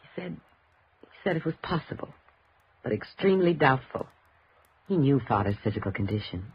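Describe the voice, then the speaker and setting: An actress playing a suspicious, grieving daughter in a 1950s radio drama, halting and emotionally insistent. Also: female voice, rumbling low, big pitch swings. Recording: dull, noisy old radio recording.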